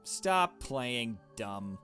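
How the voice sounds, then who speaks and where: A man voicing a blunt, cool young woman investigator from a visual novel, with a dry, deliberate, confrontational manner. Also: medium pitch, sing-song, bright, forceful tone, soft background music, full character voice.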